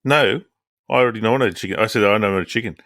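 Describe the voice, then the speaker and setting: Deep-voiced man, dry teasing. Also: very fast, fairly bright tone, no echo. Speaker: podcast co-host. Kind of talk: casual chat.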